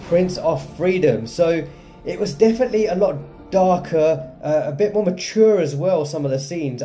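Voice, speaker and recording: A man's voice: on the high side, warm tone. A man hosting a gaming video with casual gameplay commentary.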